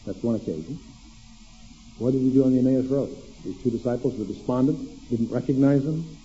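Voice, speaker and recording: A man giving an evening Bible lecture, asking his own questions aloud and then answering them, slowing down to list references. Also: mid-range voice, dark tone, soft background music. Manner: lists references at a slow measured pace. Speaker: Bible teacher. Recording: dull long-reverb hall recording.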